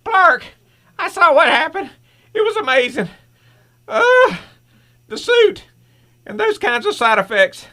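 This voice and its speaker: A man playing a dying, earnest scientist in a radio superhero drama, speaking in a strained, halting, urgent way. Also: very high voice, big pitch swings, pushed and bright, heavily acted. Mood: amazed, weak. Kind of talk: old-time radio superhero drama acting.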